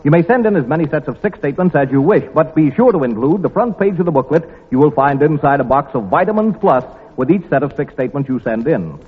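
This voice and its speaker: A man's voice: fairly high pitch, expressive, quick pace, hardly any pauses, breathy edge. An American man announcing on 1940s radio, reading scripted rules and sponsor copy with formal, emphatic salesmanship. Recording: tinny, band-limited 1940s radio recording with long reverb.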